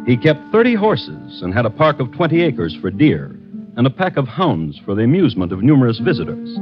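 A man narrating a scripted true-crime radio drama as host, with a wry, dramatic, deliberate storytelling manner. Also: mid-range voice. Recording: dull vintage radio recording.

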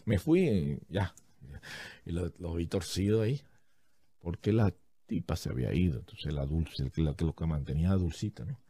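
A man's voice: sits low, moderate pace, warm tone, breathy edge. A man telling a story in a casual, comedic way, animatedly acting out what other people said.

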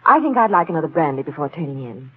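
A woman's voice: low-pitched, very animated, fast, loud music behind the voice. A woman playing a genteel, placating wife in a 1940s radio drama, speaking with brittle politeness. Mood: placating, weary. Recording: tinny old radio broadcast.

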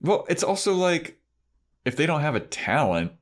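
A man's voice: fairly high pitch, big pitch swings, moderate pace. A man co-hosting a podcast, casually recapping a TV episode in slangy talk and acting out quoted asides. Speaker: podcast co-host.

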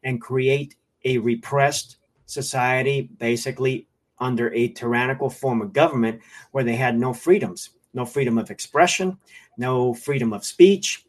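A man's voice: medium pitch, moderate pace. A man from Miami giving political commentary as a guest, explaining in formal wording and listing his points. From Miami, United States. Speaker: guest, former intelligence agent.

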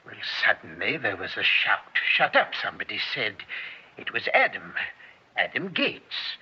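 A guarded scientist giving his account in a vintage radio play. His voice is very bright and forceful, a little rough, and swings in pitch as he picks his words formally and deliberately. The old recording is dull and band-limited. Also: huge pitch swings, full character voice. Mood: animated, intense. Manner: precise, formal, deliberate storytelling with dramatic emphasis. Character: male scientist witness, articulate, guarded, confessing he lied. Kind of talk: old-time radio drama acting.

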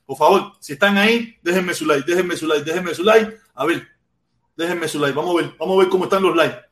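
A man's voice: a little high, brisk, fairly bright tone. A Cuban man with a Cuban accent hosting an informal livestream, chatting with commenters in repetitive, slangy, swear-laden talk. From Cuba. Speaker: livestream host. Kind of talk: informal live political rant and chat with commenters. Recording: echoey untreated room.

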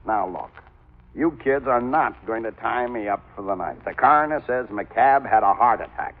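An actor playing a stubborn police commissioner, brusque, dismissive and smug, in a 1940s radio drama. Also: male voice, medium pitch, animated, normal speed. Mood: impatient. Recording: muffled vintage radio recording.

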